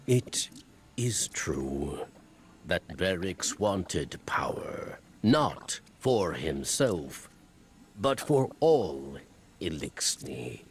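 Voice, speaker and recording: Deep, halting old alien scribe. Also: male voice, vocal fry, exaggerated voice. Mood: wary, halting. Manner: halting, broken phrasing, speaks of himself in third person. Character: humbled old insectoid alien scribe, former schemer. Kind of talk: theatrical video game character dialogue.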